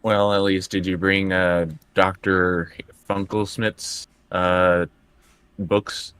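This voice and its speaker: A man with a low, deep voice, heard close up with almost no room sound. He improvises casual in-character banter as a tabletop game player, dryly needling and a little hesitant. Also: little pitch movement, slow, a bit bright, clear, resonant tone, no echo.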